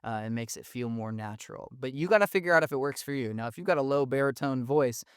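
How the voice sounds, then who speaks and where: A man explaining recording gear in a casual, enthusiastic teaching style, with a thin, mid-range voice and relaxed, slangy phrasing. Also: medium pitch, fast, clean tone, no room sound. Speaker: audio engineering instructor. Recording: dry, close studio sound.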